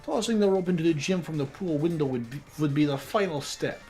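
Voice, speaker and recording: Guy doing calm formal detective from an anime game, measured and precise, bit of music. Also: a little high, natural voice. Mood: composed. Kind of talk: amateur fandub of an anime mystery game.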